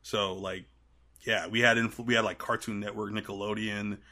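Bright-voiced, lowish-pitched man in his thirties. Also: flat delivery, normal speed. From Trinidad and Tobago. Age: 30s. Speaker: podcast guest. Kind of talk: casual conversational interview.

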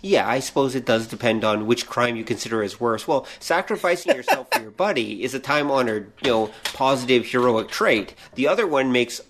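A man's voice: mid-range voice, animated, fairly quick, hardly any pauses, a bit bright, slight background noise. Middle-aged man chatting casually on a podcast. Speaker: podcast co-host. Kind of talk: casual conversational podcast chat.

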